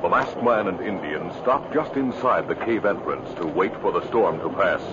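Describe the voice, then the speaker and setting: Dramatic, low-voiced male radio narrator. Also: expressive, moderate pace, noisy background. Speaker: announcer and narrator. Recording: muffled old radio recording.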